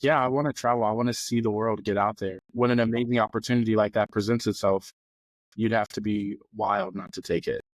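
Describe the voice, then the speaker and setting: A man's voice: fairly low pitch, steady tone, brisk, no room sound, low-quality recording. A young man hosting a casual podcast interview, upbeat and chatty with a joking touch. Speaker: podcast host.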